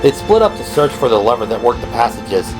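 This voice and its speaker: A man's voice: mid-range voice, sing-song, brisk, rough recording. A man delivering scripted, dramatic narration for an audio drama. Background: dramatic background music.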